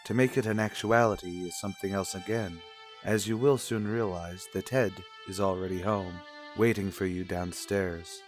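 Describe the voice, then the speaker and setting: A man playing a solemn narrator in old-time radio soap style, measured and dramatic as he addresses the heroine. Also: sits low, steady tone, soft background music, lightly acted. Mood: solemn, portentous.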